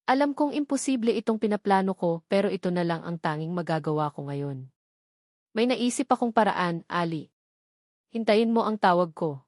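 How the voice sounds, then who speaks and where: A woman's voice: lowish voice, animated, normal speed, darkish tone, no room sound, studio quality, natural voice. Anguished, protective young Filipino mother voiced by a woman. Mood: anguished, worried. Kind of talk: dramatized novel dialogue.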